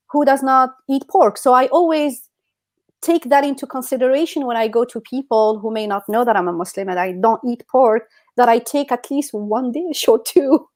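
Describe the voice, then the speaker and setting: Higher-pitched woman chatting casually. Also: darkish tone. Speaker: podcast co-host. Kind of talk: casual personal anecdote in conversation.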